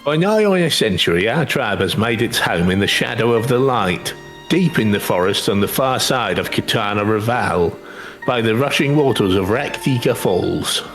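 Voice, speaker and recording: A man's voice: on the low side, a bit bright. Guy doing a fancy talking old mousy tribe dad for a video game, very formal and wordy, faint music behind. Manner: formal, grandiloquent. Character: courtly, ceremonious elder father of small mousy tribe. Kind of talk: video game story dialogue read aloud.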